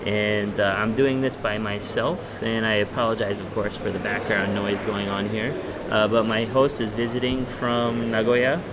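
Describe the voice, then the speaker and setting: A man with a lowish voice hosts a casual podcast interview in a relaxed, chatty way, full of fillers and restarts. He is recorded at an event venue, with clear background noise from the crowd and air conditioning behind him. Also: moderate pace, few pauses. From not from Japan. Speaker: podcast host.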